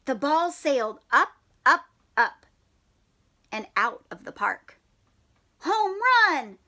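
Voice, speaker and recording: A woman reading a children's picture book aloud like a teacher, expressive with drawn-out words and playful questions to her young listeners. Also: on the high side. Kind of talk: animated storybook read-aloud with questions to children.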